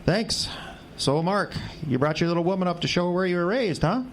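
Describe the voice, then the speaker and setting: A man's voice: high voice, normal speed, a little hiss or hum, natural voice. A man playing a reserved older uncle, a retired logger, in an audio drama, terse and folksy. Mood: hospitable.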